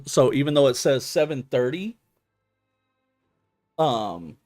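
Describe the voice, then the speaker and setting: A man giving casual gaming stream commentary, explaining things hesitantly as he thinks out loud. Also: mid-range voice, expressive, takes it slowly, no room sound, studio quality, faint music behind. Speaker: game streamer.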